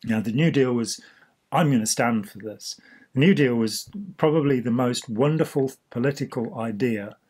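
Hoarse older man explaining. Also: mid-range voice, moderate pace, darkish tone. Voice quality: husky. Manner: gestures freely while explaining. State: slightly hoarse. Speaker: host. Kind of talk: casual explanatory chat. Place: small indoor room.

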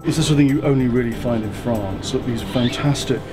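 A man's voice: medium pitch, fairly quick. A man, gushing in casual chat while shopping a flea market, effusive and theatrical. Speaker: interior designer, show host. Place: indoor flea market stalls and car interior. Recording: music bed underneath.

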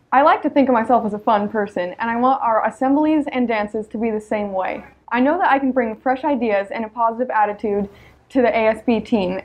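American teen girl pitching herself for student office, highish voice, slightly muffled sound. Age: teenager, about 14. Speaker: student election candidate. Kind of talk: prepared campaign speech to camera.

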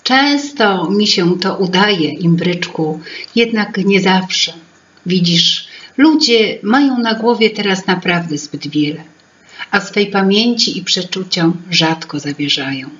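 A woman's voice: fairly low pitch, slow pace, a bit bright, muffled recording. A woman voicing a proud, bustling female fairy-tale sprite who keeps people's memories. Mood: wistful. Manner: self-important, bustling, musing.

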